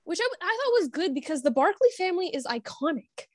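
A woman's voice: very high-pitched, big pitch swings, quick pace, dry recording, clean studio sound. A woman talking casually as a panelist in a podcast discussion. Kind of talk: casual chat.

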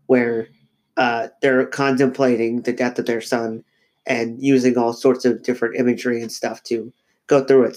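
A man with a head cold, his voice slightly nasal. He talks through ideas slowly as a podcast co-host, stopping and restarting with many fillers as he thinks aloud. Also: medium pitch. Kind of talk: casual podcast discussion.

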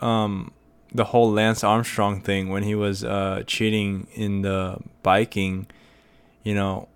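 A man's voice: on the low side, smooth. A young American man hosting a casual solo podcast, rambling with many fillers and restarts. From United States. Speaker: podcast host.

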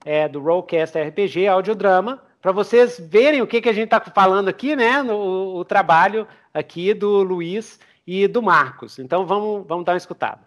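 A man's voice: high-pitched, normal speed, hardly any pauses. A Brazilian man hosting a podcast interview in an informal, slangy, chatty way. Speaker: podcast host. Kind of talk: casual interview chat.

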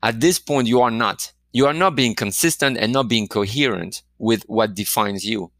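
A man's voice: medium pitch, moderate pace, fairly bright tone, dry recording, studio quality. A man giving a reflective coaching talk, asking the listener many questions. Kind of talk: motivational talk to camera.

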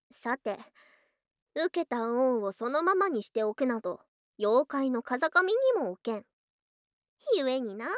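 A high, girlish lamia for an ASMR voice drama, speaking in grand, archaic turns of phrase. The snake spirit is proud yet affectionate and takes her time, in a very dry, close recording. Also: fairly bright tone, no room sound, exaggerated voice. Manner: archaic haughty old-fashioned speech, theatrical. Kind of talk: Japanese ASMR situation voice drama.

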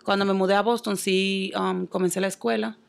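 Gravelly young Dominican woman. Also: mid-range voice, flat delivery, a little fast. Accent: Dominican Spanish. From Dominican Republic. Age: young adult. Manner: soft-spoken, with many hesitations and fillers. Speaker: guest. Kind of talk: casual interview answers.

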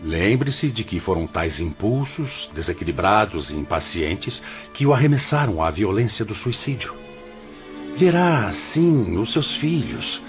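A wise, humble older male spirit with a very deep voice and a Brazilian accent. His solemn, formal counsel rises and falls expressively and patiently, as in a religious radio drama. Music plays clearly behind him. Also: sing-song, a little slow. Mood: gently firm. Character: serene, humble older male spirit, director of afterlife hospital.

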